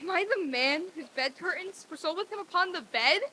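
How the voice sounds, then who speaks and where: A woman's voice: extremely high pitch, expressive, brisk, bright, forceful tone, exaggerated voice. A woman playing a frightened, pleading old miser in an old-time radio play reading on stage. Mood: desperate pleading. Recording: hissy, noisy live stage recording.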